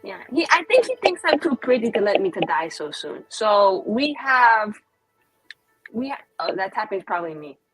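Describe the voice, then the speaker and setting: A woman's voice: mid-range voice, big pitch swings, normal speed, fairly bright tone, husky, soft background music, rough recording. A young woman playing a tabletop roleplaying game, chatting in character with lots of fillers and giggles. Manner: rambling, filler-heavy, giggly. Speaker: player.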